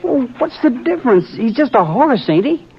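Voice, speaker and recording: A man's voice: extremely high pitch, big pitch swings, moderate pace, slight background noise, heavily acted. An actor playing a frightened young ranch boy in an old radio drama, talking in a strained, pleading way. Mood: sulky. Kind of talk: 1950s radio drama acting. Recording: dull old radio transcription.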